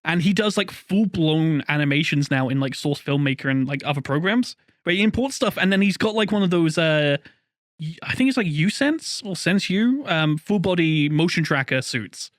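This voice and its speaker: A man's voice: on the high side, animated, normal speed, no echo, studio quality. A young man from the UK with a slightly posh British accent, co-hosting a podcast in rambling casual chat, laughing often. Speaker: podcast co-host.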